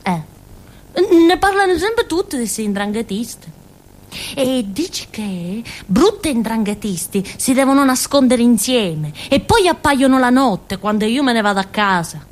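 A woman's voice: on the high side, big pitch swings, unhurried. An actress playing a naive, simple-minded young village woman in a theatrical monologue, with a southern Italian Calabrian accent and a rambling, childlike way of talking full of little grunts. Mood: naive, secretive. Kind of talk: theatrical first-person monologue in colloquial dialect-tinged Italian.

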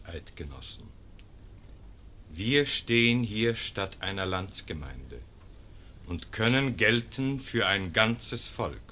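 Actor voicing a pious pastor, slow, low, bright, faint outdoor ambience. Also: male voice, a little hiss or hum, exaggerated voice. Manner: slow, preaching solemnity. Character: pious male village pastor. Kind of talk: classic German radio play acting.

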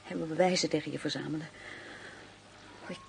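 An actress playing an anguished, remorseful informant in a Dutch radio drama, speaking hesitantly and emotionally with a creaky voice. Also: female voice, deep voice, steady tone, brisk, a little mellow, faint music behind. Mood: ashamed, anguished. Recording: noisy radio play recording.

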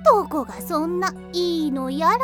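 A frank, breezy teenage best friend from an anime audio drama. Her high voice swings in a lively way, casual and teasing yet caring, with music clearly behind it. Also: moderate pace.

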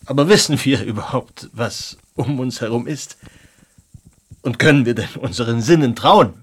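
Tipsy, slow, husky Romantic dreamer. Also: male voice, mid-range voice. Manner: declamatory, with halting dramatic pauses. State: drunk. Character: tipsy, impassioned male Romantic artist, bitter philosophical dreamer. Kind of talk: German radio drama acting.

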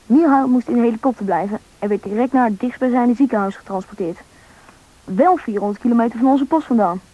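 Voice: mid-range voice, close to an everyday voice. A performer playing a young survivor who narrates a rescue in a radio play, matter-of-fact. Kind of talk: scripted first-person drama narration. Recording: thin, phone-like band-limited recording.

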